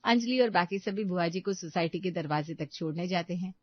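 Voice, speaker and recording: A woman's voice: on the low side, brisk, dry recording, dull audio. A woman narrating a scripted family story as a storyteller.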